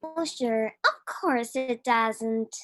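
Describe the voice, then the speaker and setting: A woman's voice: fairly high pitch, extremely slow, fairly bright tone, no room sound, clean studio sound. A woman acting a bitter, protective, plain-spoken farm sister in a radio drama. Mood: bitter, reproachful.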